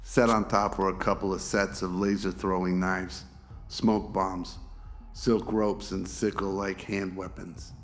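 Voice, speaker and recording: Low, even-toned male narrator. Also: a little slow, a little hiss or hum. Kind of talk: scripted radio drama narration.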